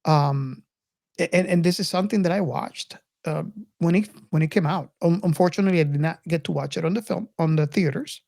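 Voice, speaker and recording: Low-pitched woman podcaster, reflective and halting. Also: gravelly, darkish tone, dry recording, clean studio sound. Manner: reflective, halting, circles back over phrases. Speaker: podcast host. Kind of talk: casual film discussion.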